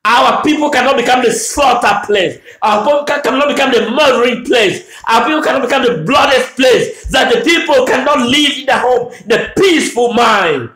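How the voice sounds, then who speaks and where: A man from Anglophone Cameroon giving a fiery political address, shouting and repeating his demands in a declamatory way. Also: very high voice, normal speed, few pauses. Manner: shouting, repetitive declamatory oratory. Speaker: political activist making a public address. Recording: echoey room.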